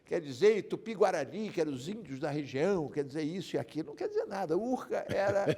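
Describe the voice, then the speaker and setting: A man's voice: on the high side, very animated, quick pace, mellow, slightly rough, big-room sound. An older man from Rio de Janeiro with a Carioca accent, telling stories as an interview guest, rambling and often adding "né?". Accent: Carioca Brazilian Portuguese. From Rio de Janeiro, Brazil. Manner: rambling, repeats himself, frequent "né?". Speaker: guest lawyer. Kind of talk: interview storytelling.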